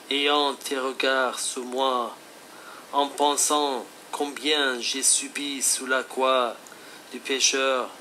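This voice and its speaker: Slow, bright-voiced man acting as Jesus. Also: mid-range voice, a little hiss or hum, rough recording. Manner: slow, solemn, instructive. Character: Jesus speaking by telephone, calm fatherly divine teacher. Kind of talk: scripted religious phone dialogue.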